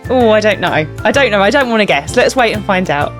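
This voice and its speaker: A woman's voice: medium pitch, lively intonation, fast, soft background music. A woman chatting casually as a mother co-hosting children's story time, encouraging, asking questions and explaining words simply. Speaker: co-host, mother of the young reader.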